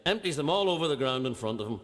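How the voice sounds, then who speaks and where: A man's voice: fairly high pitch, lively intonation, fast talker, fairly bright tone. A man telling a long stand-up joke, acting out the people in it. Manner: acts out characters in a lilting storyteller's voice. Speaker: comedian telling jokes. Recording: played-back stand-up set with hall reverb.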